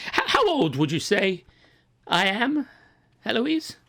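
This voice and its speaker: A woman's voice: very animated, slow, gravelly, a bit bright, heavily acted. Woman playing a flustered old man of eighty-four. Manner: flustered, stammering, rising to anxious pitch. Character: nervous old man of 84, gullible, theatrical. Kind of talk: improvised comedy.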